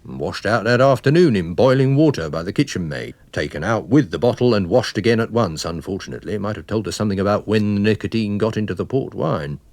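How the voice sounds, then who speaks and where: A man performs a methodical police inspector in a radio detective story. The voice is fairly low and moves expressively while staying businesslike and explanatory. It is recorded very dry. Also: lively intonation, fast talker, few pauses, darkish tone, no room sound. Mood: brisk. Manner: matter-of-fact, explanatory.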